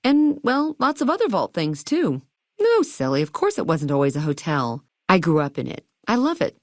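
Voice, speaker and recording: A woman's voice: fairly low pitch, huge pitch swings, moderate pace, no room sound, clean studio sound. A woman playing a perky, eager and quirky hotel keeper in a video game, chatty and full of chirpy salesmanship and playful old-fashioned slang. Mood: chirpy, welcoming, then fond, proud. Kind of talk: video game dialogue lines.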